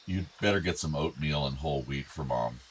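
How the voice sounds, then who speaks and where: A deep-voiced, regretful older father. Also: a little fast, a little hiss or hum. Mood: mild, worried. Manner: apologetic, hesitant. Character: regretful, meek father of two grown sons. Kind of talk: naturalistic radio play acting.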